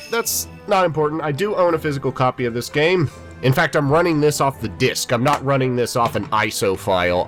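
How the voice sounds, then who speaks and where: A young man in his twenties with a stuffy, congested voice rambles through let's-play commentary. His pitch bounces around animatedly as he chats. Faint game music sits underneath. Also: a bit bright. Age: 20s. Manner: rambling, chatty, self-deprecating. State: stuffy from a head cold. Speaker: gaming YouTuber.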